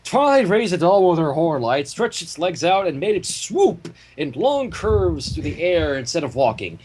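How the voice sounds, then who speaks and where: A man reading a fan-made story aloud as expressive narration, with casual asides. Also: on the high side, moderate pace, a little hiss or hum. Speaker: story reader.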